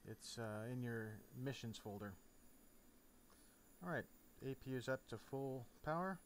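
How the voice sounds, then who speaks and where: A man's voice: mid-range voice, a little slow. Man giving a casual tutorial, noisy recording. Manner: informal, instructional. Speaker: tutorial presenter. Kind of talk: casual step-by-step tutorial narration over a flight simulator. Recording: clear background noise. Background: steady noise, game sound off.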